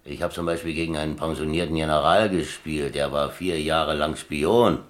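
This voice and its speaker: An actor playing a boastful, easygoing captain in a German radio play, talking like a leisurely, self-satisfied storyteller. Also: male voice, extremely low pitch, steady tone, moderate pace. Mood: boastful, teasing. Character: boastful, easygoing old sea captain spinning tales over chess.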